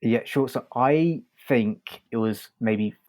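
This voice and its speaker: A man's voice: mid-range voice, slow, a little mellow. A man co-hosting a podcast, talking casually through a review with hesitant, tentative phrasing. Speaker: podcast co-host reviewing audio fiction.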